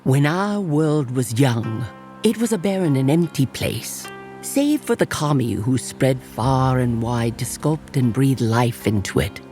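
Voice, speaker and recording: Music plays behind a serene, kindly yokai officiant voiced by an actor in a motion comic. The delivery is slow and reverent, with an expressive, wide-moving melody at a middling pitch. It sounds like gentle ceremonial storytelling. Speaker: officiant and narrator.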